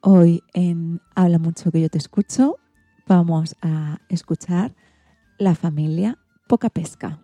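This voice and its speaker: A woman's voice: lowish voice, takes it slowly, mellow, faint music behind. A woman from presenting and narrating a scripted radio story for children.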